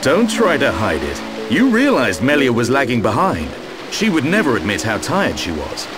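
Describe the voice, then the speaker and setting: A man playing a gruff but caring young soldier and protective older brother in a video game, speaking in a dry, measured way. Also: medium pitch, huge pitch swings. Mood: dry, calm. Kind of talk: video game cutscene voice acting. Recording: loud music behind the voice.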